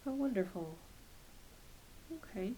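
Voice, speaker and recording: A soft-spoken woman, often dropping into a whisper, gently playing a reassuring doctor in an ASMR roleplay. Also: mid-range voice, lively intonation, slow, low-quality recording. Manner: gentle, reassuring, hesitant questioning. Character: kindly, attentive doctor examining a patient. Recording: hissy close home recording.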